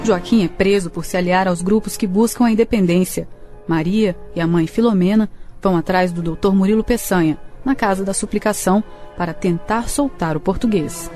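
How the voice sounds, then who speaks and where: A woman's voice: mid-range voice, a little fast. A woman with a Brazilian accent reading scripted historical narration for a radio drama. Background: music.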